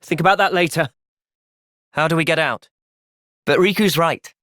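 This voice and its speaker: An actor voicing an earnest, thoughtful young male soldier and leader in a video game, with an English accent and a measured delivery. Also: a little high, bright, forceful tone, no echo, clean studio sound. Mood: calm, earnest. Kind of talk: video game cutscene acting.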